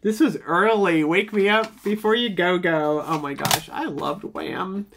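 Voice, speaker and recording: Expressive middle-aged woman, noisy recording. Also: lowish voice, unhurried. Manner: expressive, exclamatory, nostalgic. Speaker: vlogger showing a personal collection. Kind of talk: casual chat to camera. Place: indoor room with shelves.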